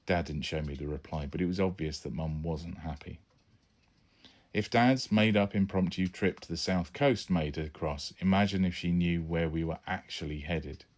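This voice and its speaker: A man's voice: low voice, normal speed, a little mellow. A man reading his own children's adventure story as an audiobook, acting out the characters as he goes. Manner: storytelling, voices each character. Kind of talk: scripted audiobook narration.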